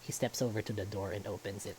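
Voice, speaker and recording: A man's voice: fairly low pitch, fairly even intonation, fast, darkish tone, a little hiss or hum, rough recording. A man reading a visual novel aloud as first-person story narration.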